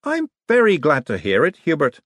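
A man voicing a sharp, dry-witted young woman who speaks crisply and sardonically. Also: fairly high pitch, huge pitch swings, moderate pace, no echo, pitched above the performer's own voice. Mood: wry.

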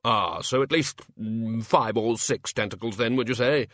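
A man's voice: medium pitch, moderate pace, fairly bright tone, dry recording, studio quality, lightly acted. A man voicing a hesitant, fussy wizard lecturer with a tentative, precise manner in a storybook. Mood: nervous curiosity.

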